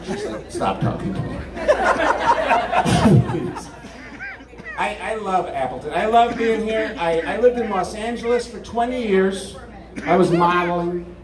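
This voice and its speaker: Middle-aged man with a Wisconsin accent, high and bouncy delivery, heard from a distance in a big echoey hall with a loud crowd. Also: very animated, takes it slowly, pauses often. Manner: crude, swearing, self-mocking jokes. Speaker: comedian. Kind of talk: stand-up comedy bits. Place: large hall.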